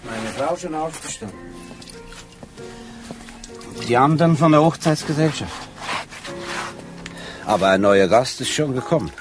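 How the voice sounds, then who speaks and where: An actor playing a dry, probing police sergeant who questions people tersely in a radio crime drama. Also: male voice, mid-range voice, expressive, a little slow, pauses often, over music, natural voice.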